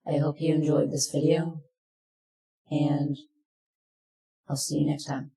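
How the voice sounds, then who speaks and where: A presenter narrating a software tutorial in plain, step-by-step instructions, recorded in an echoey room. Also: mid-range voice, flat delivery, slow, vocal fry.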